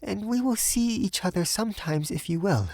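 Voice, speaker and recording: A man voicing a shy, nervous young Parisian woman in an audiobook, soft and hesitant, with an earnest delicacy. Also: sits high, animated, normal speed, no room sound, pitched above the performer's own voice. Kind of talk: audiobook character voice.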